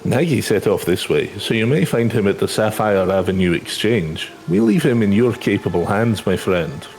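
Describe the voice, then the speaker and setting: A man's voice: fairly low pitch, very animated, moderate pace, a little mellow, slight background noise. A man voicing a courteous, concerned seasoned adventurer from a fantasy game, formal and solemn. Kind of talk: reading game dialogue aloud in character.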